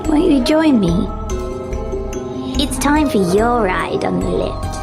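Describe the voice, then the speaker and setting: A woman's voice: fairly high pitch, lively intonation, takes it slowly, gravelly, loud music behind the voice, full character voice. A woman playing a lonely, haunted guide in an audio drama monologue, wistful in tone.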